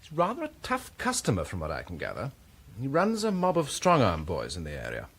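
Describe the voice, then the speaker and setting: An actor playing a curious, wry British gentleman helping with an investigation in a radio drama, husky and urbane. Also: mid-range voice, huge pitch swings, fairly quick, exaggerated voice. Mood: informative, animated.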